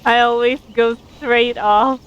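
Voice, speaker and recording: A woman's voice: a little high, fairly even intonation, slow, lo-fi sound. A woman game streamer giving casual reaction commentary over gameplay.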